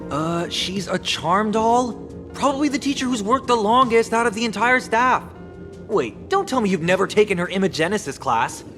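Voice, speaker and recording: High-voiced, grumbling game schoolboy. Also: male voice, animated, moderate pace, fairly bright tone, soft background music. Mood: incredulous. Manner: complaining, exasperated. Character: young male student, grumbling, impatient, sarcastic. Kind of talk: anime-style game voice acting.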